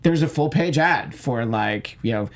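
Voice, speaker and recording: A man's voice: medium pitch, lively intonation, measured pace, creaky. A middle-aged man chatting casually as a panelist on a movie talk show. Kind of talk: casual chat.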